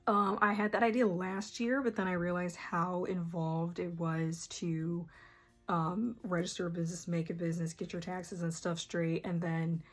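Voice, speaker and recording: A soft-spoken young woman with a very quiet voice, chatting casually about her art business and often trailing into fillers and restarts. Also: on the low side, flat delivery, normal speed. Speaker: artist explaining her small art business. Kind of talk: casual rambling vlog.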